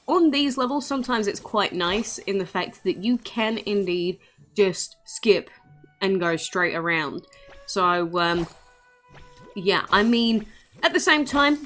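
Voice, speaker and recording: A woman's voice: medium pitch, a bit bright. A woman giving casual, playful commentary on a game as she plays, chatty and informal. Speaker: gaming video creator.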